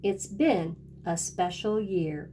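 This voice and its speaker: A woman's voice: lowish voice, slow, mellow, a little hiss or hum. A woman kindergarten teacher reading a picture book aloud and talking directly to young children in a sing-song way.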